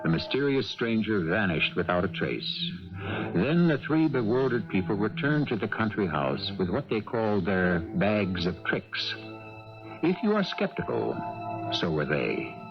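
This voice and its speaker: Low-voiced dramatic radio host. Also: male voice, big pitch swings, music in the background. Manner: dramatic, formal, measured phrasing. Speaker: host and narrator. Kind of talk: theatrical scripted radio mystery narration. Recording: dull old radio broadcast.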